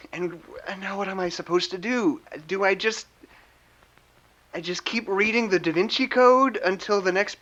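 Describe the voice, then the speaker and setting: A man acting in an audio drama as an exhausted, anxious confined man who rambles haltingly and stammers over his words. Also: fairly high pitch, fairly bright tone, dull audio, lightly acted. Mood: distressed, desperate. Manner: halting, stammering, repeating himself.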